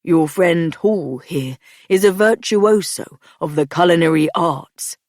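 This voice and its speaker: A woman's voice: lowish voice, slow pace, no echo, studio quality. A woman voicing a short, soothing male cook. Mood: effusive. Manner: soothing, flattering, eager.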